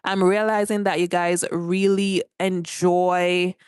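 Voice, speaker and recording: Jamaican woman, stream host, smooth low-ish voice, dry close sound, friendly chatter with viewers. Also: level tone, takes it slowly, no room sound. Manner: chatty, greets viewers by name and reads comments aloud. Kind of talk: casual live-stream chat. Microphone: phone held for a vertical live stream.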